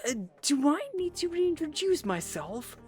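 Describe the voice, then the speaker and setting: A woman's voice: sits high, sing-song, a little slow, soft background music. A woman playing a polite, eager, slightly flustered hostess in a comedic audio drama. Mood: hesitant. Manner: earnest, hesitant, searching for words.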